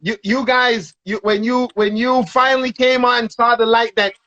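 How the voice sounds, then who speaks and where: A man's voice: very high voice, flat delivery, normal speed, pushed and bright, muffled recording. A man chatting casually as a show host, full of slang and repeating phrases for emphasis. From Toronto, Canada. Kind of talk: casual, slangy reminiscing with a guest.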